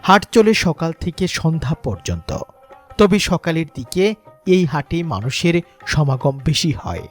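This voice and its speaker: A Bangladeshi man reading scripted, sentimental documentary narration in a measured, lyrical way. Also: fairly high pitch. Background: background music.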